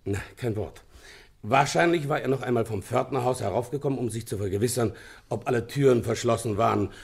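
A man's voice: mid-range voice, fairly quick, vocal fry, breathy edge. A man playing a polite, businesslike police inspector in a German radio play, deferential and matter-of-fact as he reports.

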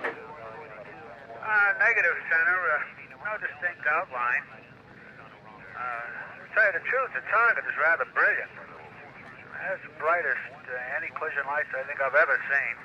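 A man's voice: on the high side, lively intonation, measured pace, long pauses. A man playing a composed airline pilot in a film, reporting matter-of-factly through a radio filter. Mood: calm, puzzled. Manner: understated, matter-of-fact. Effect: cockpit radio filter. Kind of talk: radio procedure talk in a film. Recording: dull, noisy aircraft radio link.

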